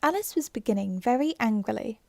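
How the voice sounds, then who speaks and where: A woman narrating a classic storybook in a soft, unhurried ASMR style, slipping into whispers now and then. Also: fairly high pitch, expressive, creaky, no room sound, clean studio sound. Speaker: audiobook narrator.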